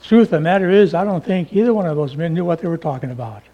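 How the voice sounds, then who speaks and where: A man's voice: on the high side, animated, fast, mellow, lo-fi sound. A man in his seventies preaching a sermon in a folksy, humorous way, full of rhetorical questions. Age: 70s.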